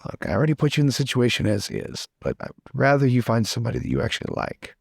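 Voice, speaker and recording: Man playing an excitable, swooping young lord. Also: medium pitch, quick pace, vocal fry, husky, dry recording, studio quality, full character voice. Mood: teasing, reassuring. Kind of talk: improvised in-character roleplay.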